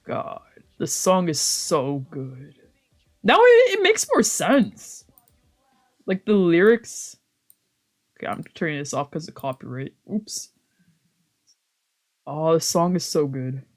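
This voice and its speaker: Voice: mid-range voice, big pitch swings, takes it slowly, pauses often. A streamer with a slangy, sweary way of talking, chatting casually and reading visual novel text aloud.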